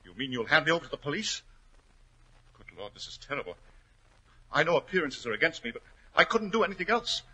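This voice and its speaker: An actor playing a desperate, hot-tempered aristocrat in a 1940s radio melodrama, impassioned and pleading, with a slightly rough, forceful voice. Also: male voice, on the high side, sing-song, fast, pauses often, exaggerated voice, rough recording. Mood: shaken. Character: desperate, hot-tempered aristocrat racehorse owner, cornered and guilty. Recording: old 1940s radio recording.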